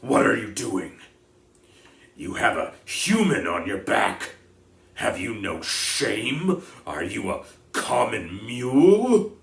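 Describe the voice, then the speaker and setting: A man voicing an angry, proud male centaur who thunders and scolds in a loud voice. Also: fairly low pitch, sing-song, slow, creaky, distant mic, voice pushed up, full character voice. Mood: furious. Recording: echoey room.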